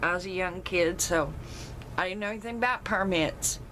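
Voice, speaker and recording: Loud-voiced woman, lower register, tells her own story with emphasis; slight background noise. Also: moderate pace, low-quality recording. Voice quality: loud, carrying voice. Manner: emphatic, rises in pitch when retelling her protest. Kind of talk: personal storytelling.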